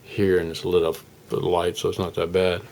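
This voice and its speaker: A man giving a casual, unscripted hands-on demonstration as a hobbyist, explaining in a halting, rambling, folksy way. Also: deep voice, completely flat delivery, darkish tone, slight background noise. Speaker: hobbyist radio restorer presenting his project.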